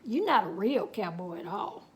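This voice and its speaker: A woman's voice: medium pitch, sing-song, moderate pace, voice pushed down, natural voice. A woman voicing a polite, no-nonsense young Texas heiress, cool and firm, in a storybook reading.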